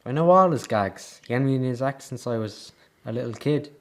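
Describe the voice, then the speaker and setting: An actor in a radio drama playing an easygoing, eager circus performer. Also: male voice, medium pitch, expressive, fairly quick, close to an everyday voice. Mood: eager, reassuring.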